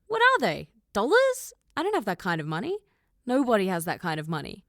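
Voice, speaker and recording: A woman's voice: medium pitch, wildly swooping pitch, a little fast, a bit bright, no echo, studio quality. A woman voicing a bewildered, exasperated teenage girl in an audiobook, flustered and incredulous in her delivery. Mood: shocked. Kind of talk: audiobook character dialogue.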